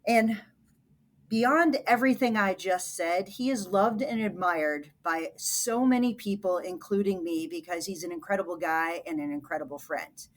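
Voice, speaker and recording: A woman hosting a podcast, giving a guest introduction in a brisk, formal delivery. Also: lowish voice. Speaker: podcast host.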